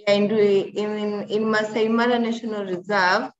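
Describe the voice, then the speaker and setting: A woman giving a slow slide presentation over a video call, listing items one by one with pauses. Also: mid-range voice, fairly even intonation. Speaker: student presenter. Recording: dull lo-fi call audio with faint noise.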